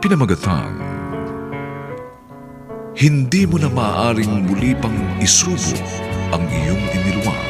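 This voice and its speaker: A man announcing the episode of a radio drama with a slow, deep, drawn-out delivery. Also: exaggerated voice. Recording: announcer over loud music.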